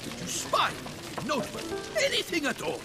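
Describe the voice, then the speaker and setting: An actor playing a haughty, theatrical knight in a fantasy video game, with a florid, exclamatory way of talking. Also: male voice, very high-pitched, wildly swooping pitch, moderate pace, full character voice. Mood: impatient. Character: excitable, haughty male knight, theatrical and indignant. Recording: loud music behind the voice. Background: loud game music.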